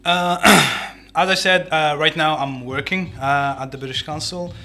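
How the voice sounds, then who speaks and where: A man's voice: a little high, fairly even intonation, moderate pace, pushed and bright, a little hiss or hum. A man with an Algerian accent answering interview questions in a conversational way, like a teacher explaining himself. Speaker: English teacher, podcast guest.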